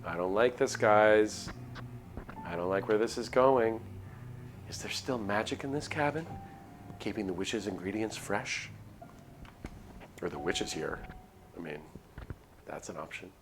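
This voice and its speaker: A man's voice: on the low side, expressive, a little slow, long pauses, background noise. A man reading a visual novel aloud as a streamer, in dramatic storytelling narration with casual asides. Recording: music under the voice. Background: music.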